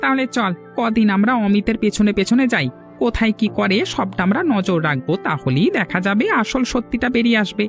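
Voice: medium pitch, animated, a little fast, soft background music, close to an everyday voice. A performer voicing a suspicious, prying young male college student in a cartoon.

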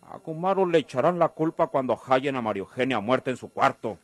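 An actor playing a blunt, menacing crime boss with a rural Mexican accent in a radio drama. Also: male voice, medium pitch, lively intonation, fast talker, dry recording, lightly acted. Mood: cold, menacing.

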